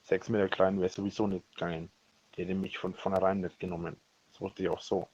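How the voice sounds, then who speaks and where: A man speaking a rambling personal voicemail, halting and often correcting himself, with a husky voice. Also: deep voice, flat delivery, a little mellow. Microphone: voice message recorded on a phone. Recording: dull lo-fi leaked voicemail recording.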